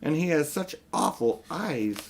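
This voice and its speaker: A man's voice: fairly high pitch, lively intonation, measured pace, slight background noise, voice pushed up. A man reading aloud and voicing a young boy who is miserable and worked up, in a children's story. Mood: uneasy.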